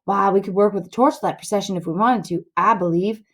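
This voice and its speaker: A woman's voice: mid-range voice, a little fast, slightly rough. A woman voicing a bossy, grandiose boy schemer in a storybook reading, lecturing scornfully in rustic dialect.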